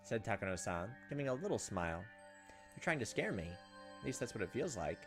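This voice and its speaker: A man's voice: fairly low pitch, sing-song, fairly quick, music in the background. A man hosting a game playthrough, switching between casual commentary and reading story text aloud. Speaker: gaming video host.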